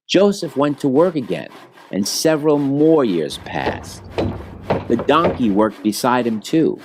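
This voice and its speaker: Expressive, unhurried man reading a children's story. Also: mid-range voice, slight background noise. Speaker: storybook reader. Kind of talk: scripted rhyming children's story narration.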